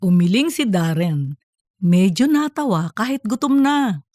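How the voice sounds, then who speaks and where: A woman reading scripted comedic fiction as an audiobook narrator, in a lively storytelling way. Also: medium pitch, unhurried, dry recording, clean studio sound.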